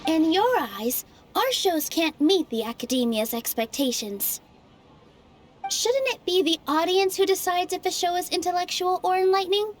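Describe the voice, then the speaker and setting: Earnest young dancer girl arguing for her theater, high sweet voice. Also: lively intonation, moderate pace. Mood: passionate. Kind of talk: game voice acting.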